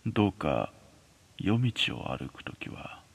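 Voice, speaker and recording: Husky, low-voiced man narrating a ghost story. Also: big pitch swings, takes it slowly. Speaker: narrator of a horror story podcast.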